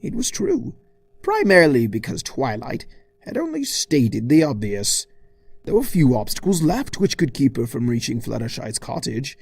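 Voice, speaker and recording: A man narrates a comic storybook tale with theatrical, mock-formal relish. His mid-pitched voice swings widely and dips into creak, recorded close and dry. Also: wildly swooping pitch, moderate pace, a little mellow, dry recording. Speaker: fan fiction reader.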